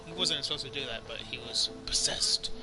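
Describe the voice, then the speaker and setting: A man's voice: medium pitch, normal speed. A young man giving casual gameplay commentary, talking in a slangy, rambling, offhand way. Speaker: gaming streamer. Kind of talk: casual let's play commentary. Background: game music.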